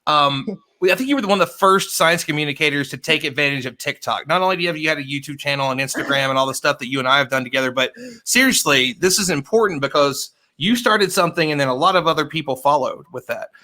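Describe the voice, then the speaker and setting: A man's voice: a little high, fast, pushed and bright. A middle-aged man moderating a panel, jovial and joking as he introduces speakers.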